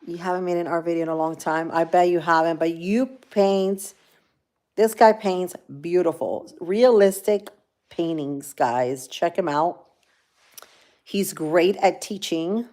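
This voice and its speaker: A woman with a Cuban accent chats casually on a livestream, talking straight to her viewers and reading their comments aloud. Her voice sits a little low, and she rambles along with plenty of fillers. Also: measured pace, darkish tone. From grew up in Florida. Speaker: livestream host.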